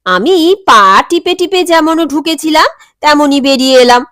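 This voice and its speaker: A woman's voice: sits high, animated, measured pace, a bit bright, no echo, studio quality. A woman reading a scripted comic family story as its narrator.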